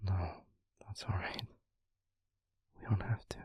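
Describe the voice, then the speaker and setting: A man playing a tender, devoted demon lover in an intimate audio roleplay, speaking gently and hesitantly in a husky near-whisper. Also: low voice, steady tone. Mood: tender, concerned. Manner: gentle, hesitant, slow and deliberate.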